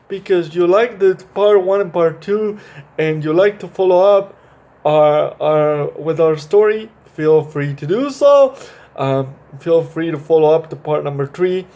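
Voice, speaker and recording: A man with a fairly high voice speaks very slowly as a storytime host. He talks to young viewers in a halting way, repeating and correcting himself as he goes. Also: darkish tone. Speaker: storytime video host reading a children's book. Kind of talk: children's story read-aloud with chatty asides to young viewers.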